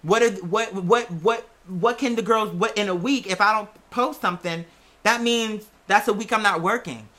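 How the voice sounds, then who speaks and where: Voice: mid-range voice, moderate pace, pushed and bright. An American content creator chatting casually and candidly, rambling and repeating phrases for emphasis. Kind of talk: casual personal chat.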